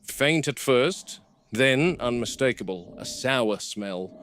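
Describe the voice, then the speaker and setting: A man playing a calm, watchful game warden, speaking in a measured, clinical way as in a scripted field log on a noisy recording. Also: medium pitch. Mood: uneasy, observant. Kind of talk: scripted found-footage horror narration.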